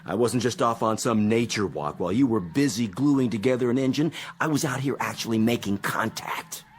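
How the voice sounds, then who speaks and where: An actor playing a cocky, excitable spaceship technician with a boastful, goading manner and a taste for godlike power, in a radio-play style. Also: male voice, mid-range voice, fairly quick, close to an everyday voice. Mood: proud, gloating. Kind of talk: dramatic radio-play acting.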